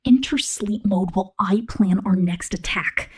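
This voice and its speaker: A woman voicing a possessive, scheming robot rebel in an ASMR roleplay, with a whispery, slow, domineering murmur. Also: medium pitch. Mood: possessive, commanding. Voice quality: breathy, whispery.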